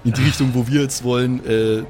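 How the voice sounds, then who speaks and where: A man's voice: mid-range voice, fairly even intonation, fairly quick, darkish tone, a little hiss or hum. A man playing in a tabletop game, chatting casually and rambling, with lots of hesitant restarts. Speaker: player.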